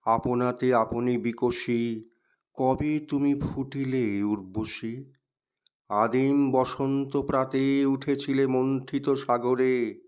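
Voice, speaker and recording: Slow, clear-voiced man reciting poetry. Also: on the low side, darkish tone. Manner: measured, deliberate, declamatory phrasing. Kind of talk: dramatic Bengali poetry recitation.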